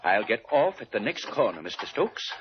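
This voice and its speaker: An actor playing a proud, unyielding married colonel and financier, speaking formally and curtly in 1940s radio style. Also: male voice, medium pitch, sing-song, moderate pace, close to an everyday voice. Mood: cold, firm. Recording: muffled, noisy vintage radio recording.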